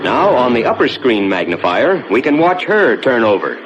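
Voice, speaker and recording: Man playing American rocket captain, reassuring space-tour narrator, lively varied intonation, dull vintage soundtrack, loud noise behind. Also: medium pitch, lightly acted. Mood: calm, reassuring. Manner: measured, explanatory, reassuring. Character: assured male rocket ship captain guiding passengers through space. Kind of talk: 1950s scripted theme park ride narration.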